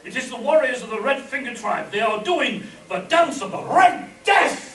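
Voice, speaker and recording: A grandly formal Mayan king acted by a man declaims in a high voice that swings widely in pitch. It is spoof radio adventure acting, heard from off in an echoey hall. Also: expressive, normal speed, pushed and bright, a little hiss or hum, heavily acted. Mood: grave. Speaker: amateur actor in a live radio play. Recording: echoey live hall, distant mic.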